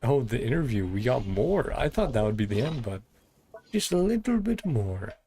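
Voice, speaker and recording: Very low-voiced woman, animated game streamer. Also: normal speed, slight background noise. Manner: giggly, rambling. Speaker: game streamer reacting to story quest. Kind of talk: casual playthrough commentary, reading on-screen text. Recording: game music faintly behind. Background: video game music.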